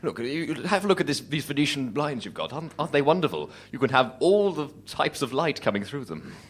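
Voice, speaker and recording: Animated, foolishly confident dreamer played by a man. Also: fast, slight background noise. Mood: enthusiastic. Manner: earnest, repeats words while pitching ideas. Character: enthusiastic, foolishly confident male dreamer Tyler, full of schemes. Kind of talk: improvised comic radio play.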